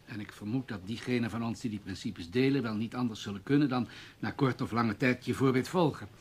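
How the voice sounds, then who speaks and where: Dutch radio drama: courteous, diplomatic newspaperman played by a male actor, slightly husky, formal and conciliatory. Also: medium pitch, fairly quick, darkish tone, close to an everyday voice. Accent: Dutch, Netherlands. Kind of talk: Dutch radio play acting.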